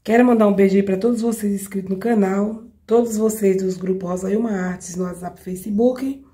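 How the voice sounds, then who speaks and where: A Brazilian woman with a cold, her voice hoarse and mellow, walks viewers through a craft project at her work table. She talks in a chatty way and keeps checking in with the people watching. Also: mid-range voice, fairly even intonation, a little fast. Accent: Brazilian Portuguese. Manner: chatty, addresses viewers directly with frequent checks. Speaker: craft tutorial host. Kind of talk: step-by-step craft demonstration. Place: home craft table, indoors.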